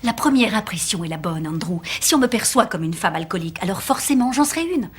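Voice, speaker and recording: A woman playing a stern, controlled mother worn down by her rebellious son in a dubbed TV drama, with measured, formal, biting delivery that often turns hushed. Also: mid-range voice, sing-song, slightly rough. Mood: defiant, composed. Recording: music under the voice. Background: music.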